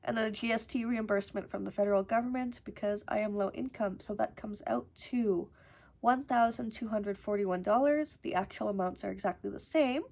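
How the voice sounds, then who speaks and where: A young woman hosts a budgeting channel from home, chatting casually as she counts bills aloud. She is sick with a cough, and her voice sits at an ordinary middle pitch. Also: fairly quick, darkish tone. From Canada. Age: young adult. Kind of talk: casual chat while counting cash.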